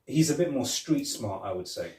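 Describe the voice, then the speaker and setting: A man's voice: medium pitch, animated, normal speed, dark tone, distant mic. A man co-hosting a casual movie review podcast. He rambles, restarting and repeating his words. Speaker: podcast co-host. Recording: echoey room, off-mic.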